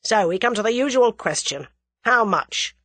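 A woman's voice: fairly high pitch, animated, normal speed, fairly bright tone, no room sound, voice pushed up. A woman voicing an angry, suspicious businessman who snarls, growls and fires off curt demands. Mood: suspicious, sharp. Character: angry, bullying, suspicious businessman, blustering villain.

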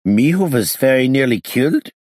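An irritable, surly gangster from a crime audiobook, as a male narrator acts him out. His voice is pushed up high and swings around as he mutters and protests, with a rough husky edge. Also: very animated, moderate pace, a little mellow, no echo, studio quality. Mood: indignant. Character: Hincus, surly, agitated gangster just freed from ropes.

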